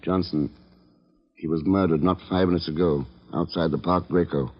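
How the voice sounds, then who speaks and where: Cool, low-voiced radio investigator. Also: male voice, fairly even intonation, normal speed, husky. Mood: probing. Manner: terse, dry, understated. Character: cool, probing, tough male investigator hero. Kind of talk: 1940s-50s American radio drama acting. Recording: dull, echoey old radio recording with clicks.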